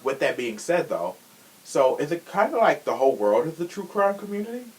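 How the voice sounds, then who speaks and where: A man's voice: a little high, sing-song, fairly quick, low-quality recording. A man hosting a casual podcast, chatting in a sassy, theatrical way. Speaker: podcast host.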